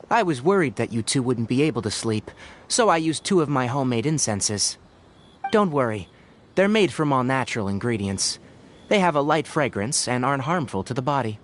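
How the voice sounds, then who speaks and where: A man voicing a calm, principled young forest ranger and scholar in a video game, articulate and thoughtful. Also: medium pitch, slight background noise. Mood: gentle, caring. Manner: articulate, thoughtful, gently lecturing. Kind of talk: video game voice acting, measured dialogue. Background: music.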